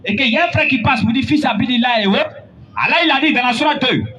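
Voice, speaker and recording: A man preaching a sermon, building to emphatic, drawn-out points. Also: very high voice, pushed and bright. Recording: dull lo-fi recording with faint noise.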